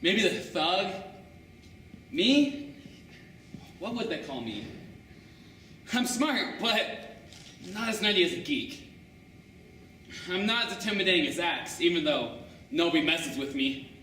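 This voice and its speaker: A man's voice: high voice, lively intonation, a little slow, pauses often, sharp tone, slight background noise. A teenage boy in his late teens performing a humorous interpretation piece in a wry storytelling manner. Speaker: student performer. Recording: echoey room, far camera.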